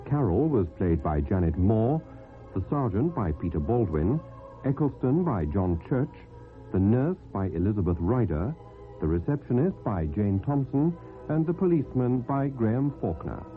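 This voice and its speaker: A man reading radio drama credits as an announcer, formal and measured. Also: lowish voice, very animated. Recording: muffled old radio recording. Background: music.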